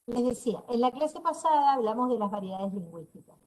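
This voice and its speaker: A woman's voice: on the high side, lively intonation, brisk, darkish tone. A middle-aged woman from northeastern Argentina with a northeastern Argentine accent, giving an online class lecture over a video call. She explains step by step, keeps checking in with her listeners and drops in dry, teasing asides. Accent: northeastern Argentine Spanish. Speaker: university lecturer.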